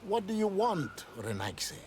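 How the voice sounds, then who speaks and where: Fantasy game islander, high-pitched for a man, solemn, formal, devout, noisy ambience behind. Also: very animated, a little slow, darkish tone. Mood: formal, grateful. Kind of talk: video game voice acting.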